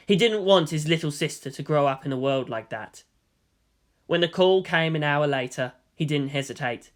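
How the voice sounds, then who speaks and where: Guy narrating a story, higher-pitched voice, really bright and forceful, dramatic. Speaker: narrator reading fan fiction aloud.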